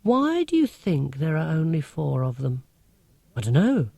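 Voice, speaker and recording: A man's voice: a little high, wildly swooping pitch, a little slow, gravelly, a little mellow, dry recording, full character voice. A man voicing an anxious, earnest schoolboy in an audiobook, insistent and worried. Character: anxious, earnest schoolboy convinced of alien threat.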